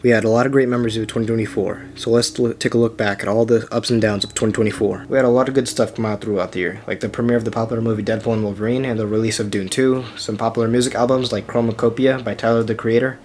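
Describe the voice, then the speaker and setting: A man's voice: fairly low pitch, fairly even intonation, quick pace, few pauses. A teenage male student reporter reading a scripted recap. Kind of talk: scripted narration. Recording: music behind voice. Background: loud music bed.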